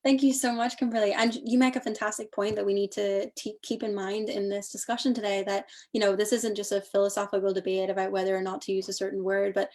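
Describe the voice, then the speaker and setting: A woman's voice: medium pitch, flat delivery, fast talker, hardly any pauses, a little mellow. A young woman from Northern Ireland hosting an online panel discussion, thoughtful and a little hesitant. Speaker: panel host.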